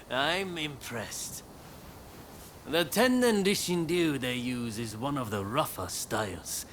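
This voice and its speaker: Harried samurai official, slow and swooping. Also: male voice, mid-range voice, expressive, fairly bright tone, a little hiss or hum. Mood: impressed, businesslike. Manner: hesitant, weary, polite, measured. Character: harried, courteous samurai official recruiting fighters. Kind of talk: video game cutscene acting.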